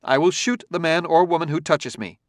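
A cool humanoid robot voiced by a man gives orders in crisp, measured sentences, neither harsh nor angry, with steady authority. The voice sits a little higher and louder than the reader's own, and the sound is dry and close. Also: dry recording, natural voice. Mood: cool command. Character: calm, commanding humanoid male robot.

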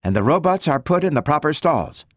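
A commanding woman scientist from an audiobook, played by a man. The voice sits raised in pitch, clipped and precise, with an exacting, imperious edge. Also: very animated, a little fast, no echo, studio quality. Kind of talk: dramatic audiobook character reading.

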